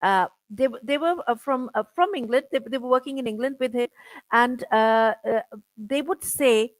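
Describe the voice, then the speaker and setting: A middle-aged woman interviewing over a video call, chatty and halting, filling her talk with "you know" and restarts. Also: a little high, dry recording. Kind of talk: casual conversational interview.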